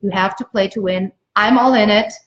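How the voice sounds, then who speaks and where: A woman from Austria, a native German speaker, speaks as a business coach giving an emphatic, repetitive motivational pitch on a livestream. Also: moderate pace. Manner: emphatic, repeats phrases for stress. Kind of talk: motivational live sales pitch to viewers. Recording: dull lo-fi livestream audio.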